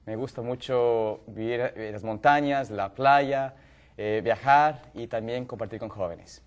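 A young man from Ecuador on a studio panel, speaking thoughtfully with many pauses and fillers. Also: mid-range voice. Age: young adult. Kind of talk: casual discussion. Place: TV studio set. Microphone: lapel mic.

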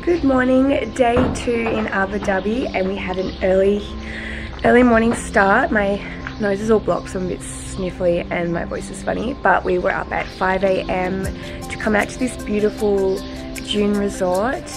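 A woman's voice: medium pitch, steady tone, normal speed. A young woman in her twenties talking casually and upbeat to a handheld camera while travelling outdoors. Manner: chatty, upbeat, breezy. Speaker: travel vlogger. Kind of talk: casual travel vlog narration. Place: outdoors at resorts and landmarks. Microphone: handheld camera at arm's length. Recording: loud music bed underneath.

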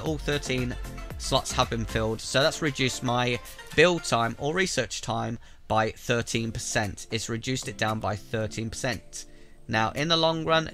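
A man sounding bunged up from a cold, talking viewers through a strategy game in a chatty, direct way. His voice is bright and forward, with faint music playing underneath. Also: medium pitch. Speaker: gaming YouTuber. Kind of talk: casual game tutorial narration. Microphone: voice-over over gameplay capture.

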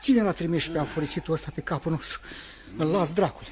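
Actor as a timid old servant, noisy recording. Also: male voice, fairly high pitch, lightly acted. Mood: muttering, resentful. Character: timid old male household servant, muttering. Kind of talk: 1950s radio theatre acting. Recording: noisy 1950s radio recording, distant.

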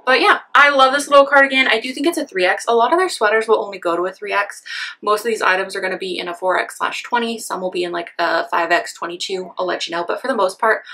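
A woman's voice: mid-range voice, pushed and bright. A young woman chatting through a clothing try-on haul, gushing over each piece, a little sniffly from a sinus infection, recorded in a decorated room with clothing racks. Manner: bubbly and gushing, many "so cute" exclamations. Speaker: fashion haul vlogger. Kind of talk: casual try-on haul chat.

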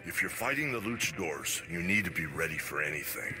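An actor playing a grim, obsessive, hardened wrestling trainer in a video game, grave and intense. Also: male voice, low-pitched, animated, brisk. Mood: grim intensity.

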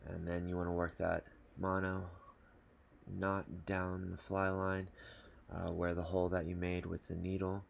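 A man calmly explaining a fishing knot step by step at a desk indoors. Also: deep voice, vocal fry, slight background noise. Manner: calm, matter-of-fact, unhurried. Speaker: fly fishing tutorial host. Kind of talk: step-by-step instructional talk. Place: small indoor room at a desk.

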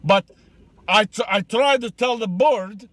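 A high-voiced old immigrant grandfather , put on by a man, stumbles through broken English on a prank call. He is confused and crude, repeating himself haltingly. The sound is dry, recorded inside a car. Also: no room sound. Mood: halting, earnest. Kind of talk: comedic prank phone call.

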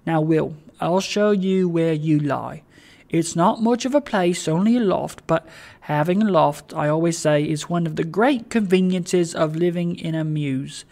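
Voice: medium pitch, unhurried, vocal fry, darkish tone. A narrator voicing a cheery, kindly, flustered poor old father who rambles eagerly in a storybook reading. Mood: hearty, reassuring. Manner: rambling, eager, stumbling over words.

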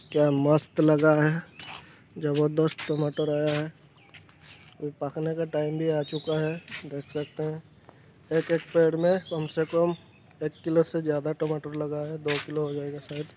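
A man's voice: on the high side, completely flat delivery, unhurried, long pauses, mellow, slight background noise. A young man vlogging on a phone held at arm's length, walking through an outdoor garden and vegetable plot and chatting eagerly to viewers. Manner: chatty, enthusiastic, addresses viewers directly. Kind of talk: casual vlog walkthrough.

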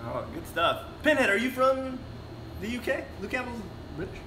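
A man's voice: very high voice, very animated, normal speed. A young man from the Philippines, co-hosting a casual live stream, rambling with lots of fillers and restarts. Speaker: streamer and co-host. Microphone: phone. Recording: echoey room, clear background noise.

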